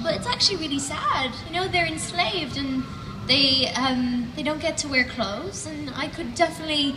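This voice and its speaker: Squeaky-voiced woman chatting earnestly on a panel. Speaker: actor on a convention panel. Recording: echoey hall sound from far off, noisy.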